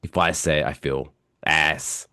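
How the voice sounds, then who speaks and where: A man with a British accent, softened by years in America, telling stories as a podcast co-host while stuffy with a cold. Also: a bass voice, fairly even intonation, slow, clear, resonant tone, no echo, clean studio sound. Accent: British English softened by years in the US. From England. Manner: chatty, self-mocking storyteller. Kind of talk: casual podcast chat.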